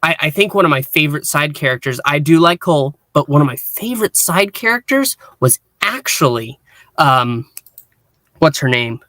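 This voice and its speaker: A man with a slightly higher-pitched voice talks through a casual review as a podcast host. He often restarts his phrases in a halting way. The sound is dry, with hardly any room echo. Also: animated, bright, forceful tone.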